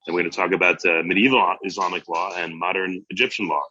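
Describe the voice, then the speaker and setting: Give me a man hosting an interview podcast, low-pitched with a bright edge. His tone is casual and conversational, and the sound is dry and slightly lo-fi. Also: fairly even intonation, quick pace. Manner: conversational, loose and musing. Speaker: podcast host.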